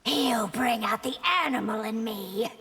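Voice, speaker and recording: A regal game empress, with a very husky, creaky voice pitched on the high side. She is imperious yet teasing, heard in a reverberant hall. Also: unhurried, a bit bright, soft background music, exaggerated voice. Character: regal, playful, predatory fantasy empress. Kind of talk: video game fight banter.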